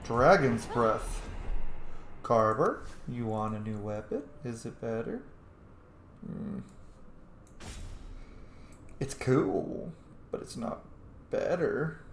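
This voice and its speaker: A man's voice: mid-range voice, animated, very slow, pauses often, roomy sound, slight background noise. A man doing casual, playful and sweary commentary while playing a video game. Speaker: gaming streamer. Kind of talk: casual let's play commentary.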